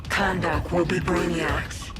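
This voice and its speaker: An actress playing a cold, menacing villain in a video game, ominous and deliberate. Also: female voice, lowish voice, fairly even intonation. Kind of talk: video game cinematic acting. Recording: very loud music behind voice.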